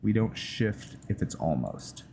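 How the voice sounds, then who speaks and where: A man casually commenting on his roleplay playthrough of a game, thinking aloud with hesitant pauses, game music behind him. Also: fairly low pitch, very animated, creaky, warm tone, a little hiss or hum. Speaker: gamer narrating a roleplay playthrough.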